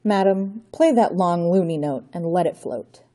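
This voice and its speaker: A woman's voice: lowish voice, expressive, moderate pace, warm tone. A woman voicing a scheming aristocratic male villain count with a theatrical, menacing manner, in a raised voice.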